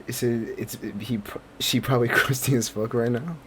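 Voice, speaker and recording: A man's voice: medium pitch, fairly even intonation, fairly quick, slight background noise. A man giving casual, slangy, profane reaction commentary as a gaming streamer. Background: loud game music.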